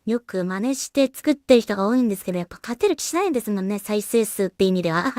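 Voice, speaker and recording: A woman talking casually to herself and her viewers as a solo creator at work, musing in an unhurried way and letting remarks trail off. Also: medium pitch, lively intonation, darkish tone, no echo, clean studio sound. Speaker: solo animation creator and streamer. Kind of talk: casual work-along commentary, thinking aloud.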